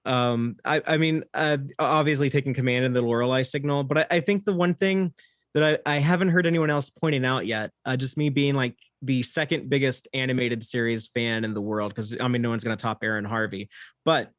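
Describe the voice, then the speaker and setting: A man's voice: medium pitch, steady tone, fairly quick, no room sound, studio quality. A man speaking as a podcast guest in a casual fan discussion.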